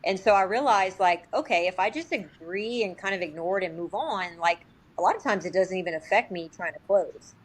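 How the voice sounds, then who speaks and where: A woman guesting on a podcast, talking informally with lots of "like" and quick quoted examples. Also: on the low side, expressive, breathy edge, poor audio. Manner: informal, heavy use of "like", acts out short quotes. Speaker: sales agent, podcast guest. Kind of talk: casual podcast interview.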